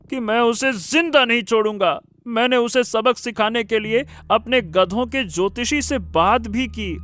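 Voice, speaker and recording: A man voicing a cunning, comical talking donkey in a cartoon story, cheeky and dramatic with drawn-out exclamations. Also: high voice, a bit bright. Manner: drawn-out exclamations, theatrical patter. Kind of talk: Hindi cartoon story acting.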